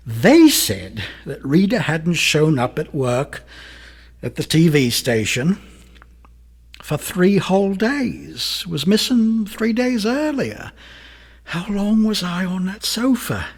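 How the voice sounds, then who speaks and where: An actor playing a guilt-ridden, drug-addled London murderer giving a halting, theatrical confession in a radio drama. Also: male voice, high voice, sing-song, creaky, breathy edge, heavily acted. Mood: dazed, defensive.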